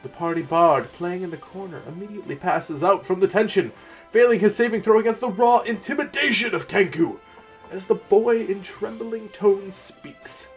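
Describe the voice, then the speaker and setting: A man's voice: high voice, moderate pace, soft background music, pitched above the performer's own voice, natural voice. A man playing a grandiose, pompous storyteller in a dramatic reading of a mock-epic fantasy tale. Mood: suspenseful. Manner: theatrical, pompous.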